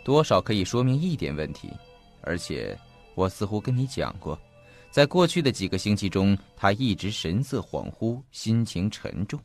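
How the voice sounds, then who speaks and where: A man acting in an audio drama as an earnest investigator, speaking in a formal, deliberate and detailed storytelling manner. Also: lowish voice, very animated. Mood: grave, explanatory. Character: earnest male investigator recounting a mysterious disappearance. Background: faint background music.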